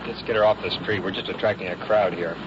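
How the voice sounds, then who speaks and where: A man's voice: fairly low pitch, steady tone, fast talker. A male police sergeant talking on duty out on the streets, authoritative and matter-of-fact, in an old field radio recording. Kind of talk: unscripted on-duty police talk. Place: outdoors on city streets. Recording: muffled noisy 1950s field radio recording.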